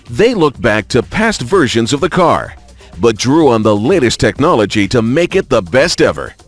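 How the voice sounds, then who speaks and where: A man reading scripted documentary narration in a polished, measured announcer style. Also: mid-range voice. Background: music.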